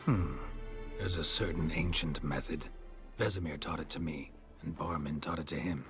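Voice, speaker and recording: A man with an American accent playing a gruff, stoic, terse monster hunter in a fantasy video game. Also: moderate pace, over music. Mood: dry, calm. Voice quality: very deep, husky, gravelly voice. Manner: terse, dropped words, understated. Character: gruff, stoic middle-aged monster hunter, protective mentor. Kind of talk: video game cutscene acting.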